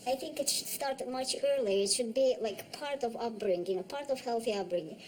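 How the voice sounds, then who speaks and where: A woman in her forties from Russia with a Russian accent, speaking in a documentary interview in a measured way with pauses and restarts. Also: a little high, darkish tone, a little hiss or hum, low-quality recording. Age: 40s.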